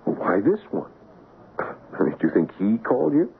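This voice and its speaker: An American writer husband, sceptical yet concerned. His voice is low and moves expressively up and down as he questions and narrates. The 1970s radio drama sound is thin and tinny. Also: big pitch swings, moderate pace, vocal fry. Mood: puzzled, probing. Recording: tinny, noisy 1970s radio broadcast recording.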